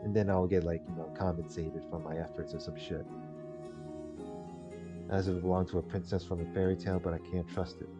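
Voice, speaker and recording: A man's voice: deep voice, flat delivery, a little fast. A man hosting a gaming video, reading visual novel text aloud and adding casual, rambling commentary. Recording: dull lo-fi mic under loud music. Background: game music.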